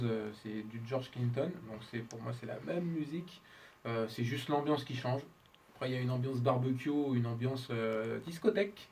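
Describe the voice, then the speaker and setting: A middle-aged man explains his craft as an interviewed musician, informal and colloquial, halting and correcting himself. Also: mid-range voice, darkish tone. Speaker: interviewed musician, talkbox player and producer. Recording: distant mic, noisy room background.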